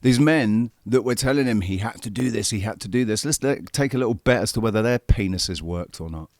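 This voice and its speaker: A man's voice: lowish voice, a little fast, a little mellow, no echo, studio quality. A man in his forties giving heated, profane political commentary as the host. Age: 40s. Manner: angry, profane, repetitive emphasis. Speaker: show host commenting on a played clip.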